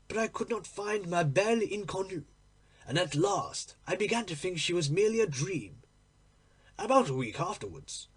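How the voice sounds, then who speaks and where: A man voicing a troubled, impatient, lovestruck gentleman in a storybook reading, earnest, dramatic and deliberate. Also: high voice, husky, exaggerated voice. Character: troubled, impatient, lovestruck English gentleman telling his secret.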